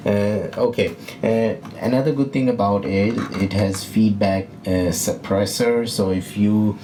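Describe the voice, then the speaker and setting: A low-pitched male voice walks through gear in a casual tutorial style. He speaks haltingly, pausing often to find the next phrase. Clear background noise sits behind him in an indoor room. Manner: hesitant, halting delivery with frequent pauses. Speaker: product demonstrator, video host. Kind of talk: casual unscripted equipment tutorial. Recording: noisy room with steady background hiss.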